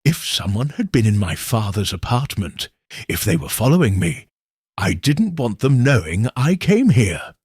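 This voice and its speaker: A man reads as an anxious young woman in a detective story, guarded and earnest. The voice rises and dips a lot, a little husky, as she hesitantly defends herself. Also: medium pitch, sing-song, normal speed, darkish tone, no room sound, clean studio sound.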